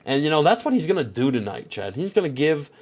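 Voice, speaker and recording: A man's voice: on the high side, very animated, quick pace, a little mellow. A man with a stuffed-up nose giving casual, rambling commentary on a wrestling game, full of filler phrases. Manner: rambling, heavy on "you know" and "at the end of the day".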